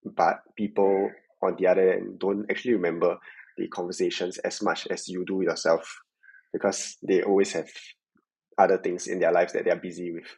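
Low, husky Singaporean man being interviewed. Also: little pitch movement, normal speed, a little mellow, poor audio. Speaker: podcast guest, tech sales worker. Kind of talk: reflective interview conversation.

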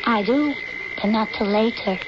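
An actress playing a devoted, needy young daughter in a radio drama, earnest, dreamy and intimate. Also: female voice, fairly high pitch, normal speed, lightly acted. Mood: playful. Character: devoted, needy schoolgirl daughter narrating her memories. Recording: noisy, dull old-time radio recording.

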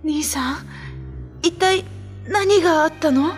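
An actress plays an anxious younger sister in a radio drama. Her very high voice is timid, hesitant and courteous, over soft background music. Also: slow pace. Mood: worried, pleading.

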